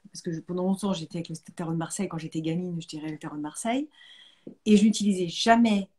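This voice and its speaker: A woman's voice: lowish voice, quick pace, a little mellow, a little hiss or hum. A woman hosting a casual live chat, talking informally and repeating words for emphasis. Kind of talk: casual conversational interview.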